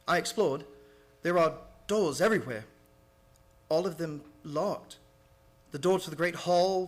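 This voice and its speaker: A man acting an anxious gentleman held prisoner, speaking in earnest, formal, dramatic fashion in an old-time radio horror play. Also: sits high, natural voice. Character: anxious English gentleman, prisoner narrating his diary.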